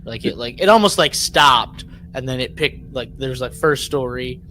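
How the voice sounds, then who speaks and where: A man co-hosting a podcast in casual chat, rambling and halting, often repeating words as he works out a point. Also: medium pitch, animated, bright, forceful tone, a little hiss or hum. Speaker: podcast co-host.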